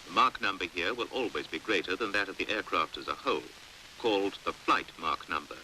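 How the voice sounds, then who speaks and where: A British man reads scripted 1950s educational film narration with careful precision. His voice is fairly low yet very bright and forceful, with lively rises and falls as he explains. The old film soundtrack carries a faint hiss. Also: low-quality recording. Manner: measured, precise, didactic. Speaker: documentary narrator.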